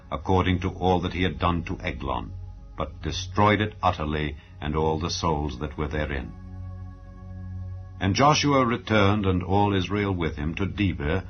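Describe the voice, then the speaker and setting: A man giving a solemn, measured, declamatory dramatized narration of scripture as an audio Bible reader. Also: deep voice. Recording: dull lo-fi recording. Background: background music.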